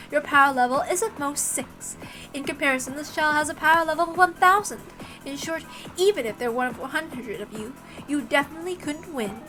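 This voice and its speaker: Villainous witch, played by a woman: high, bright, mocking, condescending, cackling storybook grandeur. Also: poor audio. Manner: haughty, mock-polite, drawn-out explaining with cackling laughter.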